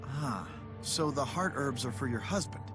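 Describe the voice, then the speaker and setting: A man's voice: mid-range voice, animated, moderate pace, music in the background, close to an everyday voice. An actor playing a polite, earnest young male doctor in a video game, courteous and conciliatory. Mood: mild, polite. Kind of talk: video game dialogue acting.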